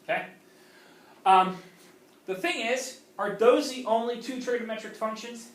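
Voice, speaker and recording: A man's voice: extremely high pitch, moderate pace. A male math teacher lecturing a class, often checking in with "okay?". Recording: roomy classroom audio from a distant camera.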